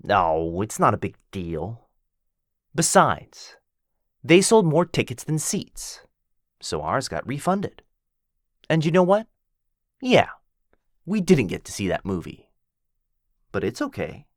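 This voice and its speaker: Man doing a flirty male werewolf, teasing, possessive, low-pitched, unhurried, dry close sound. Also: huge pitch swings, dry recording. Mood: playful.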